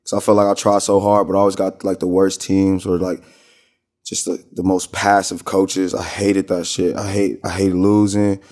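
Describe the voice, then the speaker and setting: Male American rapper as interview guest, low register, informal slang, loose rambling delivery. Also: level tone, normal speed, a little mellow. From Minnesota, United States. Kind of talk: casual interview chat.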